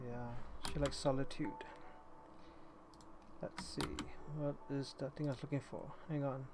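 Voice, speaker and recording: A man's voice: mid-range voice, level tone, measured pace. A man chatting casually and commenting as he live streams a game, rambling a little and repeating phrases. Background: faint noise, keyboard typing.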